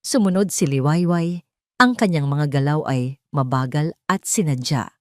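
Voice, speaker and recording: A woman's voice: deep voice, normal speed, dry recording, clean studio sound. A woman reading dramatic scripted narration as the storyteller of an audio drama.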